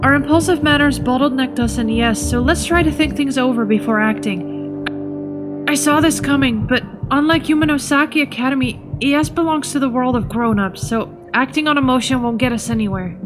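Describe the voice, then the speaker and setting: Casting: woman as earnest, fussy teenage boy, high youthful pitch, cautious, anime dub with music behind. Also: a little fast, close to an everyday voice. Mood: earnest, cautioning. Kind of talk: anime fan dub acting. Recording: music under the voice.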